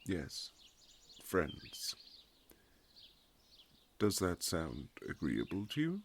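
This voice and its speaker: A man playing a reserved, formal Regency husband who is awkwardly tender, speaking in halting period phrases in a romantic audio roleplay, with a gravelly voice. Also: low voice, huge pitch swings, slight background noise, heavily acted. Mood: earnest, hesitant.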